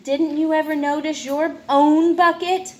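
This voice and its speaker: A woman voicing a wise, gently chiding old grandfather in a picture book. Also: high-pitched, steady tone, measured pace, pitched above the performer's own voice.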